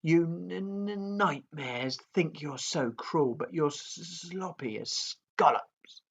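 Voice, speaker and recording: A man's voice: a little high, takes it slowly, breathy edge, voice pushed up, heavily acted. A man voicing a small, grumpy, boastful young male dragon who stammers on first sounds. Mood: jeering.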